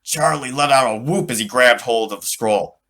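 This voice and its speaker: A man reading his own children's fantasy story aloud in an animated, dramatic way, drawing out words for emphasis. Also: medium pitch, moderate pace.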